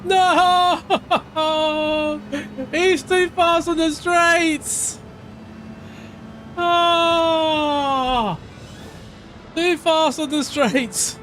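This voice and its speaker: Man, from Portugal, high voice, live game streamer, excitable shouting in races, relaxed rambling chat. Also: very slow, long pauses, faint music behind. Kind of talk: live gameplay commentary and chat reading.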